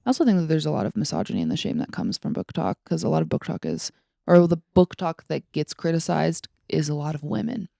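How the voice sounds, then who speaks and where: A young woman co-hosts a podcast in a fairly low voice with plenty of vocal fry. She talks casually and bluntly, leaning on filler words. The sound is dry and close, with very little room. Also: very animated, quick pace, warm tone, dry recording. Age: young adult. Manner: informal, peppered with like and mild swearing. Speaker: podcast co-host. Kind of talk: casual opinionated chat.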